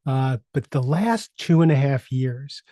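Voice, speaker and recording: A man's voice: medium pitch, animated, unhurried, warm tone, no echo, clean studio sound. A man in his sixties hosting a solo podcast, talking reflectively, earnestly and candidly as a coach. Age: 60s. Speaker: podcast host and leadership coach.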